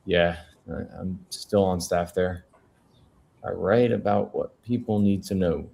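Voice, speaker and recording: A man's voice: sits low, slow, gravelly, warm tone, husky, heavily acted. A man reading the part of an anxious, unwell newsman from a crime family at a film script table read. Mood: guarded.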